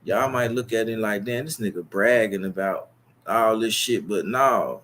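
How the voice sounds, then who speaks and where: A man's voice: fairly low pitch, normal speed, fairly bright tone, a little hiss or hum. A young man with a Chicago accent, talking straight to his viewers in a long, boastful, profane and rambling monologue. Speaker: YouTube streamer.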